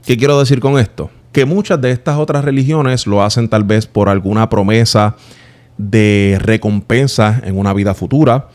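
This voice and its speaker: A man's voice: on the low side, fairly even intonation, moderate pace, a little mellow. A man from Puerto Rico in his thirties with a Puerto Rican accent, hosting his own channel and earnestly explaining his reasons in a personal monologue that speaks straight to the viewer. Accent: Puerto Rican Spanish.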